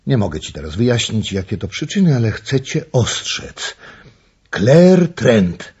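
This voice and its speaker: An actor playing an older upper-class doctor, a stern but courteous uncle with a husky voice and formal manner, in a radio drama. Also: male voice, medium pitch, very animated, measured pace, a little mellow, heavily acted. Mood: stern, guarded. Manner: formal, courteous, hesitant asides. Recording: dull, muffled recording.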